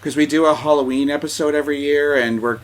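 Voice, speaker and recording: A man's voice: a little high, steady tone, quick pace. A man chatting on a podcast as a guest co-host, joking around and often saying "like". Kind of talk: casual podcast chat.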